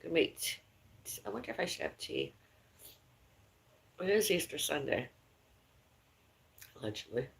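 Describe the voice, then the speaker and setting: A woman with a raspy voice, rambling casually on a live stream from her home kitchen. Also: sits low, very animated, normal speed, long pauses. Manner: rambling, chatty, calls out to someone in another room. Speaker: vlogger.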